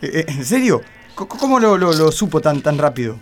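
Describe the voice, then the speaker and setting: Man acting as jittery boyfriend, heavy stutter, raised pitch, Argentine, anxious, comedy radio play. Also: very animated, fairly quick, faint music behind. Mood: alarmed, indignant. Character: nervous, stammering, anxious boyfriend who hired a detective.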